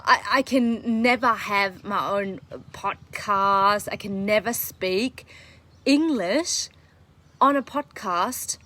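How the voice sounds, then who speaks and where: A woman's voice: mid-range voice, slow pace, pushed and bright. A young woman, a native German speaker, talking in English as a podcast coach in an earnest, enthusiastic live monologue with many fillers.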